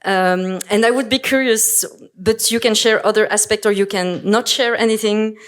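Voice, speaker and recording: A woman's voice: mid-range voice, normal speed. A woman from Morocco whose first language is French, moderating a conference panel in English on a handheld microphone, talking with many hesitations and self-corrections and laughing often. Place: conference hall.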